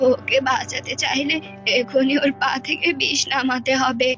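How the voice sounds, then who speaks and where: A woman voicing a solemn, scheming royal healer in a cartoon fairy tale, gravely giving instructions. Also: high voice, flat delivery, measured pace, a bit bright, soft background music, voice pushed down.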